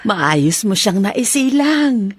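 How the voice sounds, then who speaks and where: A woman's voice: medium pitch, big pitch swings, slow, breathy edge, dry recording, heavily acted. A Filipino actress playing a tender older mother and grandmother, soothing and earnest, in radio drama style. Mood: comforting.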